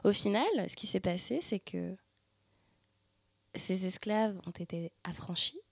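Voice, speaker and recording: A young adult woman from the Paris region talking through a personal reflection in a thoughtful, searching way, with many pauses and fillers. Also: medium pitch, expressive, gravelly, slightly rough, no room sound. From Paris region, France. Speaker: speaker sharing personal testimony.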